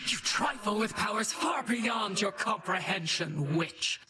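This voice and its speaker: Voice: mid-range voice, lively intonation, a little slow, over loud music, natural voice. A performer playing a pompous, menacing ghost in a video game, declaiming grand threats theatrically. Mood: mock menacing.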